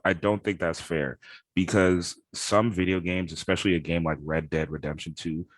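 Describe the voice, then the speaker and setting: Really low voiced guy on a podcast, dry sound, casual chat. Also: fairly even intonation, normal speed, dry recording. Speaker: podcast co-host. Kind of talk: casual discussion about gaming.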